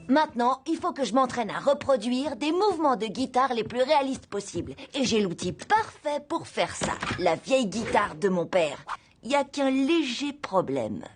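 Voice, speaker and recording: A woman voicing an eager, scheming cartoon schoolboy who chats expressively and confides in the viewer. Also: on the high side. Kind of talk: French animated series dub, narrating to viewer.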